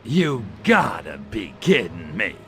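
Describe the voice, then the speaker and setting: An actor playing a cocky, wisecracking alien invader in a cartoonish video game, with a sardonic, drawling delivery. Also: male voice, lowish voice, wildly swooping pitch, slow, creaky, over music, exaggerated voice. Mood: incredulous, impatient.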